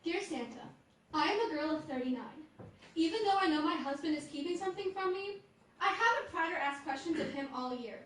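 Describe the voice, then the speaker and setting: A woman's voice: very high-pitched, animated, brisk, a bit bright. A woman playing a polite wife in her late thirties, reading a letter in a live stage radio comedy. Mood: sweet, pointed. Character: polite thirty-nine-year-old wife wanting luxuries. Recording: very echoey theatre, far off, faint noise.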